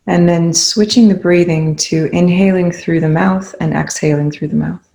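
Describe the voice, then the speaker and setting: Meditation guide: soothing and unhurried, flat steady melody, medium pitch, leaves long pauses between gentle instructions. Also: gravelly.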